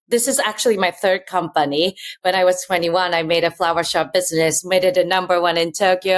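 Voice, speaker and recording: Japanese-accented woman, earnest and chatty. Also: mid-range voice. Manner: earnest, chatty, many "you know" fillers, loose grammar. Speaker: guest, company founder. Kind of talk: casual interview talk.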